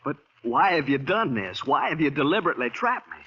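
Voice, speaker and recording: A man acting a proud Southern gentleman planter in an old-time radio drama, formal and earnest in manner. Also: a little high, very animated, a little fast, slightly rough. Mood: indignant. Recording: muffled vintage radio recording.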